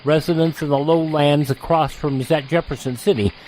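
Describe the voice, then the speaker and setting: A man working as a radio host, reading announcements and pitches in a folksy, conversational way. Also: fairly even intonation, normal speed, darkish tone, a little hiss or hum, low-quality recording. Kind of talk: host reads, sponsor pitch and old news headlines.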